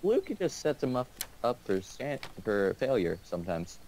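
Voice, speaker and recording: A man's voice: medium pitch, very animated, moderate pace, darkish tone. A young man chatting casually as a video co-host, telling anecdotes in a joking, teasing way. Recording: noisy room with clinking dishes.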